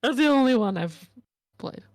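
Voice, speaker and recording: A woman's voice: on the high side, lively intonation, normal speed, no room sound, clean studio sound. A woman asking questions in a casual, conversational way as a panel interviewer. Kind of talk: casual chat.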